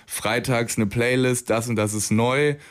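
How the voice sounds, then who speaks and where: A man hosts a campus radio show from the studio, easing questions to his guest. His talk is relaxed and conversational, with a few fillers, into a close studio microphone. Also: medium pitch, unhurried. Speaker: radio host. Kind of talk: casual radio interview.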